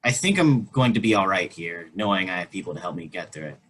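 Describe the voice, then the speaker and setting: A performer reading a teenager's part in a staged play reading over a video call, earnest and quietly emotional. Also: male voice, low-pitched, lively intonation, quick pace, a little hiss or hum. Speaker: actor reading a teen character in a play.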